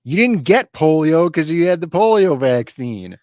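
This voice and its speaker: A man's voice: fairly high pitch, sing-song, moderate pace, darkish tone, dry recording, clean studio sound. A man from Chicago with a Chicago accent, co-hosting a casual livestream, easygoing and anecdotal. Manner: easygoing, short agreeing replies and anecdotes. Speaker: co-host of a comics livestream.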